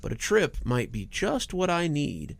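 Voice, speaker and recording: A menacing backwoods killer from a horror story, played by a male narrator. He intones his threats with fake friendliness, taunting and lively in pitch, with a creaky edge. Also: unhurried, heavily acted. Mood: threatening, falsely friendly.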